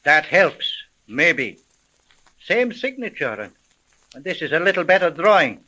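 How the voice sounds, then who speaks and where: An actor playing a bossy, hard-boiled police sergeant in a 1930s radio drama, with brusque, slangy authority. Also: male voice, fairly high pitch, sing-song, a little slow, sharp tone, heavily acted, rough recording. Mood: businesslike.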